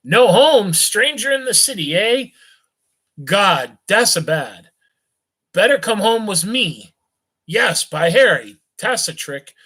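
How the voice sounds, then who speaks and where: A man's voice: high-pitched, sing-song, takes it slowly, sharp tone, husky, voice pushed up, heavily acted. A man doing a slurring drunk rich youth. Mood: drunken rambling. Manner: slurring and hiccuping, lurching tipsy chatter. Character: drunk rich young man, eighteen, jovial and rambling.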